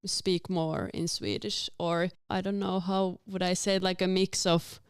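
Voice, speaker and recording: A young woman from Finland with a very clear, smooth voice, chatting as a guest with a slightly low pitch. She laughs often between words, recorded close and dry. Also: level tone, unhurried, no room sound. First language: Finnish. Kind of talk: casual chat.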